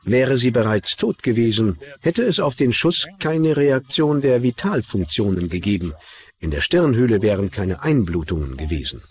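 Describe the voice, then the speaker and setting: A man's voice: mid-range voice, sing-song, moderate pace, close to an everyday voice. A man speaking in the style of a dubbed documentary interview.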